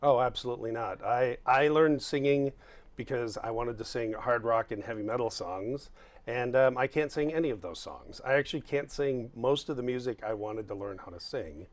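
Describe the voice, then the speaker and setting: A man who is a voice coach, speaking as an interview guest with a resonant tenor voice and an emphatic, agreeable manner. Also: medium pitch. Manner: emphatic, agreeable, quick to say "absolutely". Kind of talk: studio interview. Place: TV studio.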